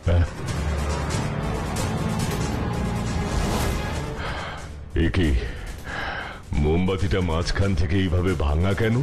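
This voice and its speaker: A man voicing a grave, inquisitive superhero detective in a cartoon, speaking in a deliberate, dramatic, questioning way. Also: sits low, fairly even intonation, natural voice. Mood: grave, suspicious. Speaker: detective hero of the show. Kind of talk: cartoon voice acting. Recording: music behind the voice.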